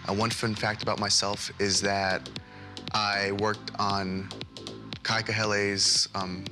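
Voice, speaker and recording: A young man with a lowish voice gives an upbeat, chatty filmed interview. Music plays clearly beneath him. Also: level tone, slow. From Hawaii. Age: young adult. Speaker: student scholarship recipient. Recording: music playing underneath.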